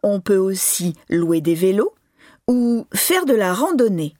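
Woman exam reader, slow, deliberate, dry booth. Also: medium pitch, big pitch swings, mellow, no echo. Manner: slow, deliberate, clearly articulated. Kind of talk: scripted informational reading.

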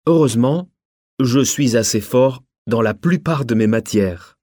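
A man presenting a recorded listening exam. He reads at a slow, deliberate pace with plenty of pitch movement. The sound is dry and close. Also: expressive, mellow, dry recording. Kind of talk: scripted exam narration.

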